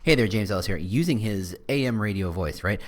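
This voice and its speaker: A man doing a smooth AM radio DJ. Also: lowish voice, animated, a little fast, natural voice. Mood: crooning. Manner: crooning, laid-back radio patter. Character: smooth late-night male AM radio DJ.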